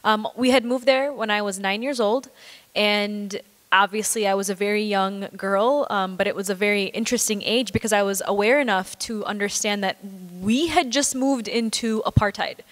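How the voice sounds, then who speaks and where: A woman from Minnesota telling her personal story on a panel, measured and earnest, with many fillers. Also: mid-range voice, fairly even intonation. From United States, Minnesota. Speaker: panelist journalist. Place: large hall stage. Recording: hall reverb, far off.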